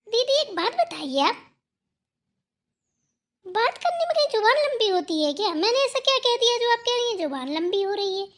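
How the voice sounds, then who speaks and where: A woman's voice: very high-pitched, sing-song, moderate pace, long pauses. A woman voicing an outspoken, hardworking younger daughter-in-law in a Hindi family cartoon, who talks back, teases and moralizes. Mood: retorting. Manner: talking back, teasing, moralizing.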